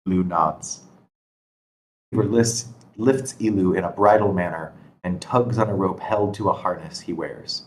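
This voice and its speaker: A man's voice: deep voice, unhurried, poor audio. A man reading scripted stage directions as narrator at a screenplay table read. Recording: constant background noise.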